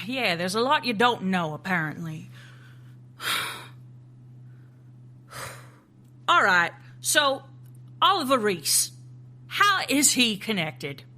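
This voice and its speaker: An actress playing a weary, skeptical female FBI agent in an audio drama, speaking haltingly and with open feeling. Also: mid-range voice, sing-song, bright, forceful tone, heavily acted. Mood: skeptical, exasperated.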